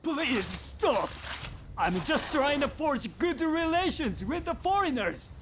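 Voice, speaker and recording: A man's voice: extremely high pitch, big pitch swings, slow, music in the background, exaggerated voice. A man playing a terrified, pleading shogunate official in a video game cutscene. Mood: panicked pleading.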